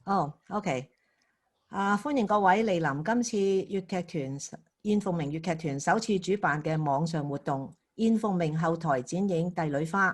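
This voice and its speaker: A woman who is an opera company director, giving a careful, measured introduction with hesitations. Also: on the low side. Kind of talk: formal introduction.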